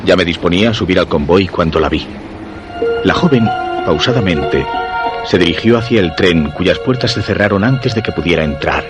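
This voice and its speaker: A man's voice: low voice, lively intonation, normal speed. An actor playing a lovesick, brooding man narrating his diary in a radio drama, with an accent from Spain. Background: music.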